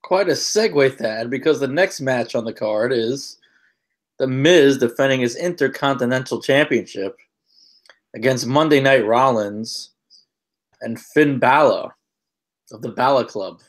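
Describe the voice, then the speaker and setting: Man, average pitch, podcast co-host, casual banter, conversational commentary. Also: measured pace.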